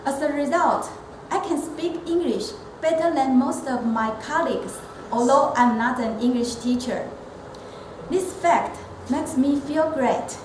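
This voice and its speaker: Woman, high voice, slow deliberate pace, earnest prepared speech, echoey noisy room. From Taiwan. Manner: measured, earnest, with occasional restarts. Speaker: elementary school teacher and club member. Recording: echoey room with steady background noise.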